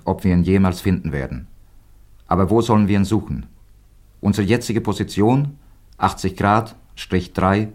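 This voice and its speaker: Deep-voiced, terse rescue expedition officer. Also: male voice, darkish tone. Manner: terse, formal, telegraphic. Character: grave male rescue party member dictating situation report. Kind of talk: German radio play acting, clipped report.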